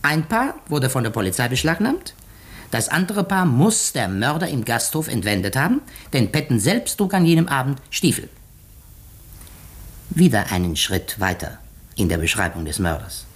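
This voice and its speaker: A methodical detective in a 1950s radio drama. His husky voice moves in wide, animated swoops as he sets out his reasoning. He is deliberate and theatrical, leaving pointed pauses. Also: mid-range voice. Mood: pointed, accusing. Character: shrewd, methodical male detective unmasking a murderer. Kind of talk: 1950s German radio play acting.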